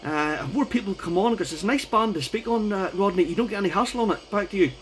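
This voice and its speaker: High-pitched man chatting as a radio ham. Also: fast, heavy background noise. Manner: chatty, informal, repeats "you know what I mean". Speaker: amateur radio operator. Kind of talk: casual radio contact chat.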